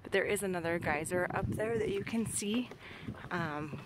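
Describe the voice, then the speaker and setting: A woman's voice: fairly low pitch, moderate pace. A young woman casually narrating a hike outdoors, chatty and full of fillers, filmed with a camera at arm's length. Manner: chatty, pointing things out, filler-heavy. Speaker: vlogger hiking on her honeymoon. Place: outdoors on a boardwalk trail. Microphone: camera held at arm's length. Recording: outdoor camera audio with wind noise.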